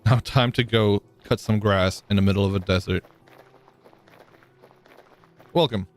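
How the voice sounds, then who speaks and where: A man's voice: lowish voice, steady tone, measured pace. A man live-streaming a game, chatting casually, thinking aloud with frequent ums and answering viewers in chat.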